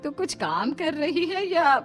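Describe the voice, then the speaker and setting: Woman acting a shrill, bossy village mother-in-law. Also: big pitch swings, slow, faint music behind, heavily acted. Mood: bossy, complaining. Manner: loud commanding calls, exclamatory homely grumbling.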